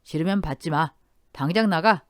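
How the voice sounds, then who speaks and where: A woman's voice: deep voice, moderate pace, no echo, clean studio sound, lightly acted. A woman voicing a stern husband and eldest son who speaks firmly and coldly in an audio drama. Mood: angry. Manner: firm, cold.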